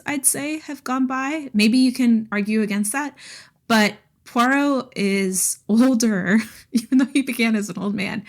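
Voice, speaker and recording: A woman co-hosting a podcast, chatting about books in an informal, animated way full of "like". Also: mid-range voice. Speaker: podcast co-host. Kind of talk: casual book discussion chat.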